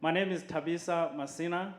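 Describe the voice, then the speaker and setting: A man's voice: on the high side, steady tone. Earnest man from Zimbabwe, roomy hall. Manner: slow, earnest, with frequent "uh" pauses. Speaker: board member of a water charity. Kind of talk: short welcome speech to a church audience. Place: church hall.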